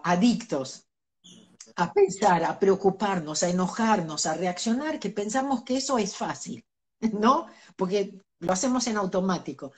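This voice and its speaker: A middle-aged woman, a guest speaking earnestly in an interview and laughing between her points. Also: mid-range voice. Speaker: interview guest, spiritual teacher. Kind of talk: reflective conversational interview.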